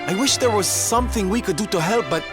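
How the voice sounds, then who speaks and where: A woman's voice: medium pitch, lively intonation, fast talker, lightly acted. A woman playing an affectionate companion in a video game, with a playful, theatrical, coaxing manner. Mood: sympathetic. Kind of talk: animated video game dialogue. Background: music.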